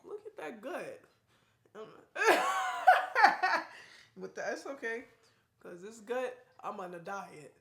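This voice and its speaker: A woman's voice: a little high, very animated, takes it slowly, gravelly, pauses often, a bit bright, roomy sound, slight background noise. A young woman and new mother chatting casually in a family vlog, talking in a rambling way. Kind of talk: casual chat.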